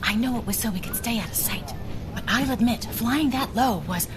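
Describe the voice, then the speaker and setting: An actress playing a candid, uneasy but game ally in a pulp radio drama. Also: female voice, fairly high pitch, expressive, moderate pace, music in the background, close to an everyday voice. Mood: candid, concerned.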